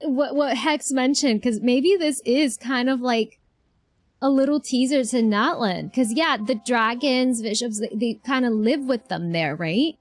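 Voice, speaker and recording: A woman's voice: fairly high pitch, unhurried, dry recording. A woman streaming casually, chatting and thinking aloud as she reacts to a video game. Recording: game music behind the voice.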